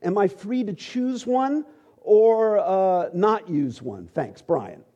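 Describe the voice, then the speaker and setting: A middle-aged man teaching at a church, relaxed and joking, with halting asides. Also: sits high. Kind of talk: conversational sermon.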